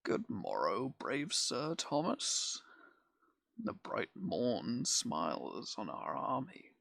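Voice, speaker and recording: A man's voice: mid-range voice, wildly swooping pitch, takes it slowly, gravelly, a bit bright, husky, dry recording, full character voice. A man reading the lines of a bold, cheerful medieval lord in a verse play, hearty and declaiming.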